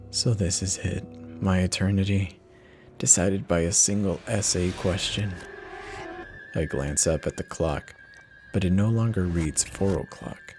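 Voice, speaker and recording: A man narrates horror in a low, theatrical voice. His delivery is measured and intense, taking its time over each phrase, with faint music underneath. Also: a little mellow. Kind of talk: dramatic first-person horror reading.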